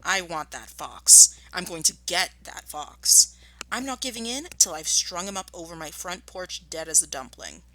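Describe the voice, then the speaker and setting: A woman's voice: fairly low pitch, lively intonation, normal speed, pushed and bright, low-quality recording. A woman reading a storybook as a thin, grimy, angry farmer man, bossy and menacing with emphatic delivery.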